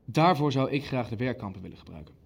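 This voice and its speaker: An actor playing a cold, decisive Nazi official in a radio play, speaking in a businesslike, matter-of-fact way. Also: male voice, medium pitch, animated, fast talker, creaky, husky. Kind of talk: Dutch radio play dialogue.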